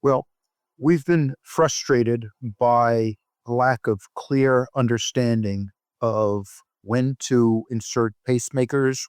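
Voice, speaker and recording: A man with a mid-pitched voice answers as an expert interview guest. His delivery is measured, formal and technical, and the sound is very dry. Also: no room sound. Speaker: guest, professor of cardiac electrophysiology.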